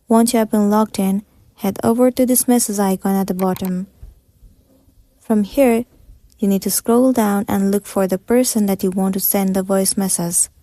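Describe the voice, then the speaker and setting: A woman's voice: medium pitch, fairly even intonation, normal speed, warm tone, no room sound. A woman giving scripted step-by-step tutorial narration in a methodical, instructional manner.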